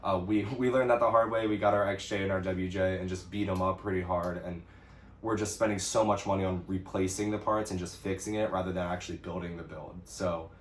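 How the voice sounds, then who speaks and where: A man's voice: low voice, fairly even intonation, brisk. A young American man chatting casually as he answers questions for an off-road vlog, easygoing and a bit hesitant. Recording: roomy echo with some background noise.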